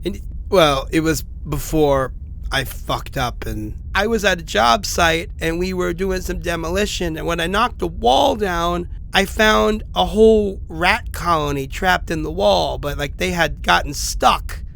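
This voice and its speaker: Rambling, gravelly isolated man, played by an actor. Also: high voice, a bit bright, a little hiss or hum, heavily acted. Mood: uneasy. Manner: halting, informal, confiding, rambling. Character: isolated, sick, rambling man enduring supernatural peril. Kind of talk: horror audio drama, answering-machine messages.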